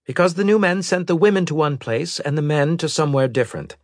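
Man voicing a brisk female military officer, pitched up. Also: lively intonation, no echo, clean studio sound. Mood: brisk, formal. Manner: brisk, precise, formal reporting. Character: brisk, formal female military intelligence officer in science fiction.

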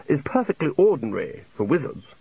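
A blustery older male wizard in charge, played by a man reading a storybook, brisk and bluff. The voice is authoritative and a touch husky, on a dull recording. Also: mid-range voice, big pitch swings, warm tone, dry recording, exaggerated voice. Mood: dry, reproving.

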